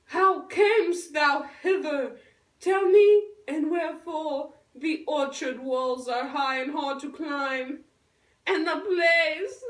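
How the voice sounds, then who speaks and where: A lovesick, dramatic teenage girl in a puppet play , voiced by a woman declaims verse in a very high voice at a slow pace. The room echoes heavily around the distant voice. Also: a bit bright. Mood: wondering, anxious. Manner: slow, theatrical declaiming with big swells. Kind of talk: Shakespeare recited for a school puppet show. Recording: echoey room, far from the mic.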